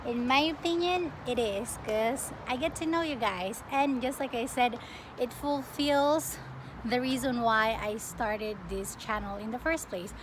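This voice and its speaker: Young filipina woman doing a casual vlog q&a, highish voice, lots of noise behind her. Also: unhurried. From Philippines. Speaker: plant vlogger. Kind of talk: casual get-to-know-me question-and-answer chat. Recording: clearly noisy home recording.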